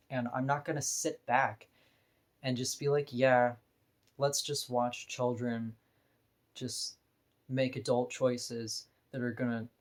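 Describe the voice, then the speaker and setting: A woman with a deep voice, talking bluntly and frankly in a candid personal vlog monologue, laughing now and then between points. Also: a little mellow. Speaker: vlogger.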